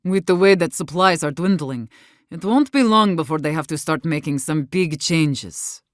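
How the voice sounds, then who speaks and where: A woman's voice: sits low, expressive, normal speed, dry recording, studio quality. An actress voicing an embittered, defiant woman warden leader in a video game, weary but fierce, speaking in a blunt, earnest way. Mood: grim, resigned. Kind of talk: dramatic game dialogue.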